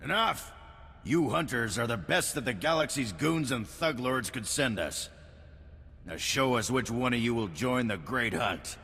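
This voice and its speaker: An actor playing a commanding master of a bounty hunters' tournament in a video game, theatrical and authoritative. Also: male voice, medium pitch, animated, unhurried, sharp tone, slightly rough, full character voice. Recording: long arena-like reverb.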